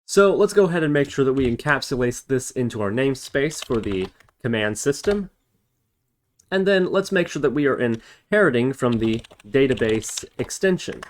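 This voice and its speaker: A man with a medium-pitched voice leads an informal programming tutorial. He talks through his work step by step, thinking aloud as an instructor does while demonstrating on screen. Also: lively intonation, normal speed, a little hiss or hum. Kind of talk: informal screen-recorded coding tutorial narration.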